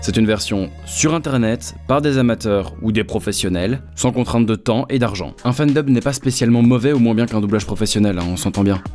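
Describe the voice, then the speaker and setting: A man's voice: fairly low pitch, brisk, few pauses, a little mellow. A man who works as an amateur voice actor, narrating a scripted explainer in a chatty way, with explanatory asides. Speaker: amateur voice actor presenting own work. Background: background music.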